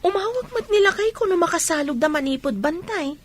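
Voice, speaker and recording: A woman's voice: very high voice, lively intonation, brisk. A woman playing a curious, nosy friend in a comic radio drama, asking question after question.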